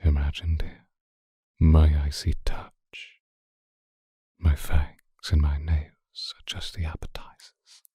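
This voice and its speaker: A man playing an obsessive, seductive vampire in an intimate, hushed ASMR roleplay, speaking deliberately and often whispering close to the microphone. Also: monotone, dry recording, heavily acted. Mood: seductive, possessive. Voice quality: very deep, breathy, husky, often whispering. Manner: slow, deliberate, hushed, formal wording. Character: seductive male vampire stalker, obsessive and predatory. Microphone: close microphone, dry sound.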